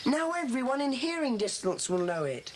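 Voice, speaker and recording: A woman playing a bossy, impatient schoolboy leader of a secret club in a children's audio drama, speaking in a brisk, commanding way. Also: sits high, big pitch swings. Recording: faintly noisy old cassette.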